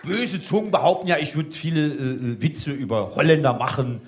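A man performing stand-up as a mock-military drill instructor, telling a story in an animated way. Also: on the high side, normal speed, a crowd in the background, background noise. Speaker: stand-up comedian. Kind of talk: stand-up routine in a gruff drill-instructor persona.

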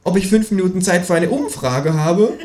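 A man's voice: sits high, moderate pace, a little mellow, voice pushed up, lightly acted. A man doing a smug, cheeky kangaroo. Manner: smug, wheedling, giggly. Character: cheeky scheming talking kangaroo. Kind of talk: live comic reading. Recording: echoey live room.

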